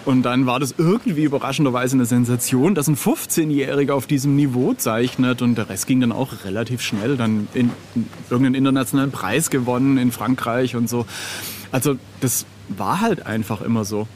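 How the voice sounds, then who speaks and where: A man's voice: mid-range voice, brisk, darkish tone. A man in his late forties from southern Germany telling anecdotes casually as an interview guest, quoting others in direct speech. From southern Germany (Swabia). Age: late 40s. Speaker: interview guest, freelance illustrator and cover artist. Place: outside at a street café in a city. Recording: ambient noise of an outdoor café.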